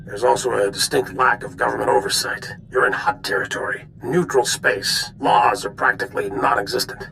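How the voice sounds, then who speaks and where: An actor playing a weary, grave older general who gives deliberate military briefings in a video game. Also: male voice, medium pitch, steady tone, vocal fry, noisy background. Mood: grave, confiding. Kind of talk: dramatic video game dialogue.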